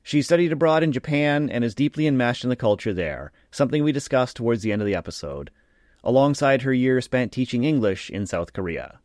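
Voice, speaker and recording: A man's voice: medium pitch, lively intonation, darkish tone, dry recording. Podcast host man, dry close mic. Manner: reads a scripted intro, then chats with pauses and fillers. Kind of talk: podcast interview.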